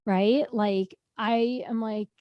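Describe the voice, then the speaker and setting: A woman in her thirties co-hosting a podcast in casual chat, animated and quick to laugh. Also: mid-range voice, dry recording, highs cut off. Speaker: podcast co-host.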